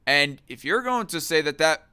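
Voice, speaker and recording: A man on a livestream who sounds bright and forceful, with a very clean, resonant voice. He argues and mocks in crude, casual commentary. He reads chat comments aloud as he goes. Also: on the high side, animated, moderate pace, clear, resonant tone. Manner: crude, mocking, argumentative. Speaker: livestream host.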